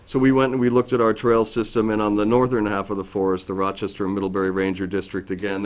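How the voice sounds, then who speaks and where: A middle-aged man, a forest district ranger, giving a loud, plainspoken briefing to a town board with frequent ums and restarts. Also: low-pitched. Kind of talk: informal briefing at a public meeting. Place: town meeting room.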